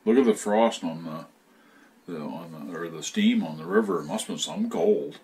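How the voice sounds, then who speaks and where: Man, deep low voice, halting casual recollection, faint background noise. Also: lively intonation, gravelly, mellow.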